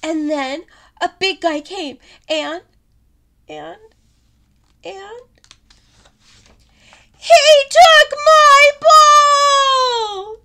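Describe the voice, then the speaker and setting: A woman voicing a small, excitable young pig from a storybook, easily upset and given to dramatic wailing. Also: very high-pitched, very slow, long pauses, voice pushed up, heavily acted. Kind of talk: storybook character voice.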